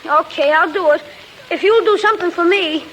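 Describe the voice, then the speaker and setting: An American actor playing a guarded, wisecracking schoolboy in a 1940s radio comedy, stiffly polite or brash by turns. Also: male voice, very high voice, a little fast, a bit bright. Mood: brash, confiding. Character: guarded, wisecracking schoolboy who slowly warms up. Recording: noisy, clicking old radio recording.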